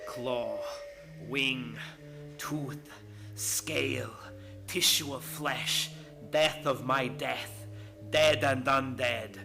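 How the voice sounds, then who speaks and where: A man's voice: mid-range voice, fairly even intonation, very slow, creaky, pauses often, music in the background. An actor playing an imperious vampire lord in a radio play, chanting to his minions in a grand, brooding manner. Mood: incantatory, menacing.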